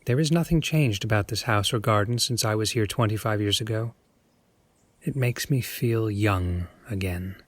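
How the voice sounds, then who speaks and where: A man playing a wistful, middle-aged gentleman in an audiobook, speaking in a gentle, nostalgic manner. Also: on the low side, normal speed, no room sound, close to an everyday voice. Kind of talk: audiobook character reading.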